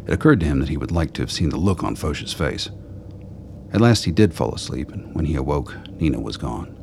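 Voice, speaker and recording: A man's voice: rumbling low, very animated, a little fast, gravelly, a little mellow, slight background noise. A man narrating a radio play as a scripted story in the third person.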